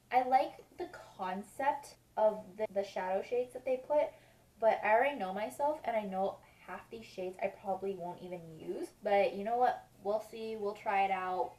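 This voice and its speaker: A woman's voice: mid-range voice, darkish tone. A woman vlogger with a stuffy, sniffly nose, chatting casually and rambling as she reviews beauty products. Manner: chatty, rambling, repeats reassurances. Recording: roomy sound, mic at a distance.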